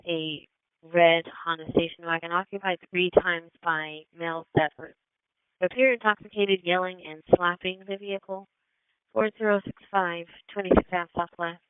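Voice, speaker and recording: A woman's voice: on the low side, flat delivery, normal speed, clear, resonant tone, dry recording. A woman working as a police and fire dispatcher over two-way radio, calling out incidents and numbers in a clipped, procedural way. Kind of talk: scripted-sounding radio dispatch calls. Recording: tinny narrow-band radio scanner feed.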